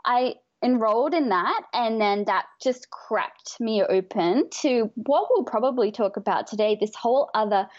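An Australian woman, a life coach, telling her story in a bright, chatty way as an interview guest. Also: on the high side, animated, normal speed, few pauses, muffled recording. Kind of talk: interview answers.

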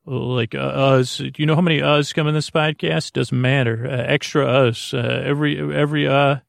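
A man's voice: mid-range voice, fairly even intonation, moderate pace, creaky, no room sound. A man hosting a sleep podcast in a rambling monologue, hesitant and meandering, often trailing off into tangents. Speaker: podcast host.

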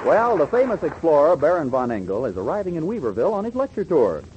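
A man's voice: on the high side, wildly swooping pitch, fast talker. A man with an American accent narrating as announcer in scripted 1940s radio style. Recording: muffled, noisy old radio broadcast.

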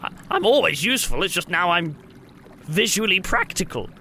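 A man's voice: sits high, very animated, moderate pace, pushed and bright, slight background noise, heavily acted. A man playing a vain, sardonic genius doctor and fixer in a tabletop game, pompous and drily sarcastic. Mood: defensive, wry.

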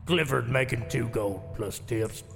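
An actor playing a gruff, blunt fantasy pit laborer in an audio comedy, talking deadpan in terse third-person sentences. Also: male voice, mid-range voice, measured pace. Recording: long cavernous reverb, music behind.

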